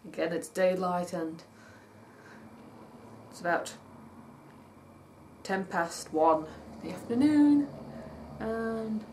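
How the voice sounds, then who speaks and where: A woman talks slowly in a rambling vlog, her voice husky because it has not warmed up yet. She reads points from a list and spells words out letter by letter, and her voice sits a little low. Faint traffic murmurs behind her. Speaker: vlogger. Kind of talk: casual vlog, reading points from a list and commenting. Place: at home by day, with traffic outside.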